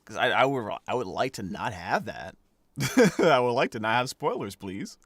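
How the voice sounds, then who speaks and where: A man streaming a game as a voice actor, talking casually with halting, chuckling asides. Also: medium pitch, very animated, a bit bright, husky, no echo. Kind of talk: casual gameplay commentary.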